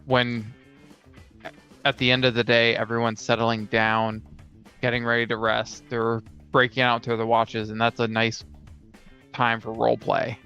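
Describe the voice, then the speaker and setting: A man's voice: medium pitch, monotone, unhurried, pauses often, bright, forceful tone, clean tone. A man co-hosting a casual discussion of tabletop role-playing games, on a lo-fi recording. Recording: dull lo-fi sound, music underneath.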